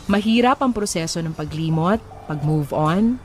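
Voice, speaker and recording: Woman, radio co-host, lowish pitch, advice-giving, faint music behind. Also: expressive, normal speed, darkish tone.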